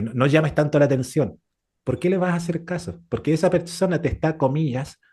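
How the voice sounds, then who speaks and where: A man's voice: mid-range voice, dark tone, slightly rough. A middle-aged man with a Chilean accent chatting reflectively as a co-host, explaining with examples and rhetorical questions. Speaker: podcast co-host. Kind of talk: casual reflective conversation.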